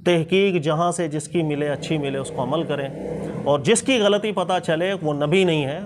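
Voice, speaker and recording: Higher-pitched Pakistani man teaching emphatically. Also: normal speed, background noise. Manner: emphatic, explanatory, repeats points and checks in with rhetorical asides. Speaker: Islamic scholar and teacher. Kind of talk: religious discussion, informal teaching.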